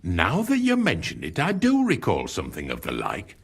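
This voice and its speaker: An actor playing a laconic, helpful medieval villager with a husky voice, in a video game. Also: male voice, deep voice, wildly swooping pitch, moderate pace, full character voice. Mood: dry, helpful.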